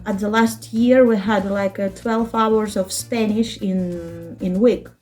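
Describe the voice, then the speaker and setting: A woman in her thirties from Ukraine, a native speaker of Russian and Ukrainian, talking in a casual interview with a Ukrainian accent, halting and searching for words, laughing often. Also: mid-range voice, fairly even intonation, darkish tone. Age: 30s. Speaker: interview guest, children's book translator.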